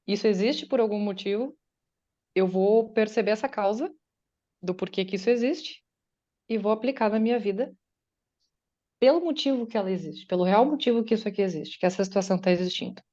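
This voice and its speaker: Woman, guest speaker at an online faith meeting: chatty, voices her listeners' doubts, mellow tone, dull call sound. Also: mid-range voice, a little fast. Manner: conversational, acts out listeners' doubts in quotes. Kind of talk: faith-based motivational talk. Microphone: video call.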